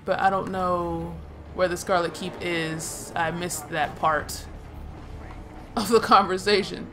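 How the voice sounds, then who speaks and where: A woman's voice: fairly low pitch, steady tone, slow pace, fairly bright tone, a little hiss or hum. A woman casually commenting on her own gameplay, chatty and laughing, swearing, and talking to herself. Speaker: let's play gamer.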